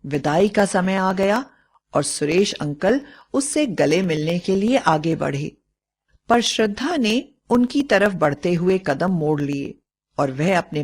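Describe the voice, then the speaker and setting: A woman storyteller reading a scripted moral bedtime story. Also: on the low side.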